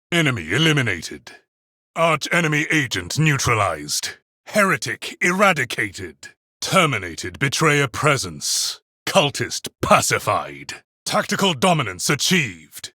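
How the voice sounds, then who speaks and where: Video game space marine, stern tactician, husky, forceful, clipped formal reports, very dry recording. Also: male voice, mid-range voice, no echo, heavily acted. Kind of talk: video game combat voice lines.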